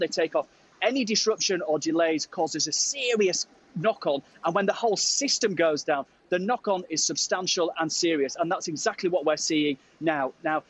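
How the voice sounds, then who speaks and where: A man's voice: sits high, a bit bright. A man giving an emphatic live news report as a correspondent, repeating phrases for effect. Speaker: transport correspondent. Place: live location report at an airport. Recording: dull audio with clear background noise.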